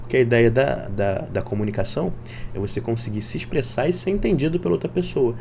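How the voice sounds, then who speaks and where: A man's voice: medium pitch, expressive, fast, creaky, a little mellow, a little hiss or hum. Man explaining his language study. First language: Portuguese. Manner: thoughtful, repeats words while searching for them. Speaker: vlogger learning German. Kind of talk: casual explanatory vlog. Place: home room, moves camera stand.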